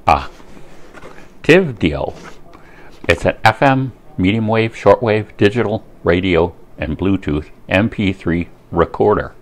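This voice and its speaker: A man giving a casual, unscripted product review in a rambling way, pausing often to think. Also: deep voice, expressive.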